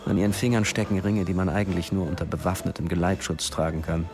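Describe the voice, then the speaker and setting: Radio thriller lead: a dry, observant storyteller with a low, creaky voice over music. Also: male voice, steady tone, quick pace. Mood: dry, wry. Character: wry, observant male narrator in a spy thriller. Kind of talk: scripted radio drama narration.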